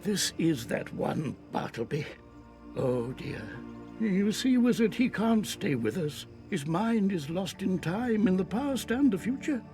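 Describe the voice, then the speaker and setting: A kindly, wise mentor in a fantasy game. The voice sits fairly high and moves expressively up and down. The pace is slow and theatrical, like a storyteller's, with soft music behind. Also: male voice, big pitch swings. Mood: concerned. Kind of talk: video game character acting.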